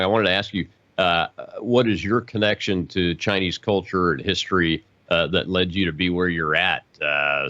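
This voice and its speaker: A male host asking interview questions in a halting way, with many fillers and restarts. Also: sits low, a bit bright, dry recording, highs cut off.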